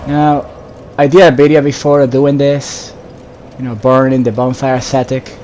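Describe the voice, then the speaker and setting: A man giving casual, low-key commentary on his own video game playthrough, thinking aloud in fragments. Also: moderate pace. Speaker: gamer narrating own playthrough. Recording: steady background noise behind voice.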